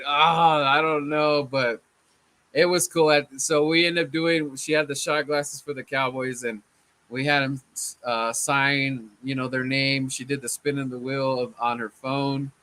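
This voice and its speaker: A man hosting a casual sports fan chat, his voice a little hoarse from chanting, laid-back and often saying "man". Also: mid-range voice, level tone, normal speed, pushed and bright. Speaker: fan channel host.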